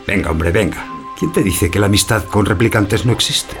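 A man's voice: on the low side, normal speed, lightly acted. An actor playing a breezy, placating man in comic radio theatre, casual and wheedling in his delivery. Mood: placating, flippant. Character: breezy, placating man bargaining with a dying android. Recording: music clearly behind the voice.

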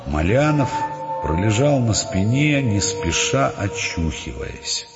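A male narrator with a fairly low, widely moving voice reads dramatized prose. Long reverb surrounds him, and music plays behind. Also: sing-song, slow, creaky. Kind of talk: dramatized literary narration for an audio play. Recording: reverberant, dull sound, music underneath.

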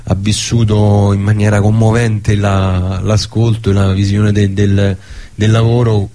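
A man talking as a guest in a radio interview, halting and often rephrasing himself as he explains. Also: on the low side, level tone, mellow. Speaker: theatre director, guest. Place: radio studio.

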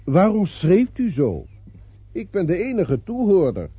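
A glib newspaper reporter in an old radio drama, very animated and rather high in pitch. He is formally polite and wry, in a dry, muffled recording. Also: unhurried, husky, dry recording, full character voice. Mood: polite, sceptical. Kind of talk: radio play acting.

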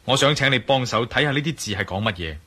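A man's voice: medium pitch, animated, fast talker, pushed and bright, breathy edge. A man playing a confident, persuasive adventurer and investigator in a radio drama, earnest and insistent. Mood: earnest inquiry. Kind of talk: Cantonese radio drama acting.

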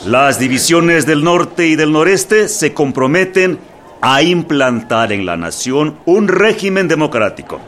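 An actor playing an officious delegate formally proclaiming conference resolutions in a radio drama. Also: male voice, fairly high pitch, big pitch swings, measured pace, a bit bright.

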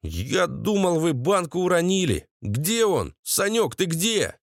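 A man's voice: high-pitched, very animated, slow pace, dry recording, studio quality, pitched above the performer's own voice, exaggerated voice. A man voicing a meek, flustered henpecked husband with an anxious, bleating way of talking. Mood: puzzled.

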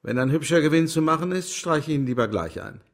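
An actor playing a smug, self-serving political turncoat in a German radio drama, husky, cool and mocking as he gives advice. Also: a little high, animated, fast. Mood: cool, reasoning.

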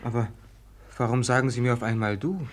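A man's voice: medium pitch, a little fast, lightly acted. An actor playing a nervous, fragile, boyish young man in a 1950s German-language radio play. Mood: anguished, fearful. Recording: 1950s radio drama recording.

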